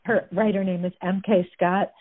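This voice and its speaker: Husky woman on a tinny line. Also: fairly low pitch, flat delivery, normal speed. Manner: chatty, amused, many fillers. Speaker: guest discussing a book. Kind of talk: casual retelling of stories.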